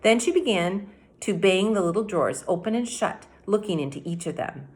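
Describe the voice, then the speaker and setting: A middle-aged woman, a teacher giving a read-aloud mini lesson, explaining things directly to children in an animated way. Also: mid-range voice, normal speed. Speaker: elementary teacher. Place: indoor room at home by a window.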